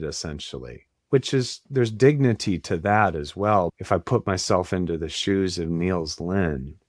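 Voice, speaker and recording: A man hosting a reflective conversation, talking slowly and thoughtfully and searching for his words as he goes. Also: low voice, no echo, studio quality. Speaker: host, therapist.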